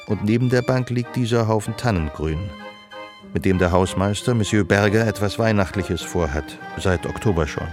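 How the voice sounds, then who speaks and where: A man acting as a detached, observant storyteller, narrating literary radio drama in a measured way. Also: on the low side, music in the background, lightly acted. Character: detached, observant male storyteller of a woman's life.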